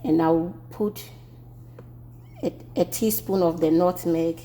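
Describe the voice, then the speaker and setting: A woman with a Ghanaian accent demonstrating a home recipe in her kitchen, relaxed and repeating herself as she works. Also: on the low side, slow, slight background noise. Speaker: home cooking vlogger. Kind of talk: casual cooking demonstration.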